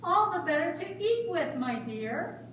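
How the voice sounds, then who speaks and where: A woman's voice: extremely high pitch, big pitch swings, unhurried, fairly bright tone, heavily acted. Sly fairy-tale wolf voiced by a woman for puppet theatre, very echoey, picked up from far off. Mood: sly, menacing. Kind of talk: children's puppet show acting. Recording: roomy echo, distant mic, faint noise.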